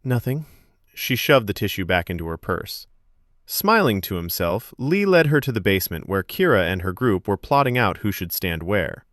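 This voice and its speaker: A man narrates serialized fiction in a fairly low voice. His delivery is expressive and moves widely in pitch, and the sound is dry, with little room around it. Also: normal speed, no echo.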